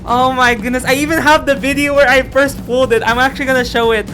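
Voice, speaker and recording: A high-voiced woman hosts a playthrough with music clearly playing behind her. She moves between reading narration aloud and effusive, exclamatory commentary on the game. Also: steady tone, fast talker. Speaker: game streamer reading a visual novel aloud. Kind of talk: excitable let's-play commentary and story reading.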